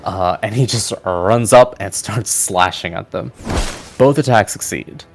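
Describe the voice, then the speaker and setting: A man's voice: lowish voice, measured pace, vocal fry, slight background noise. A man narrating a tabletop roleplaying game as game master, in a casual, plain-spoken way.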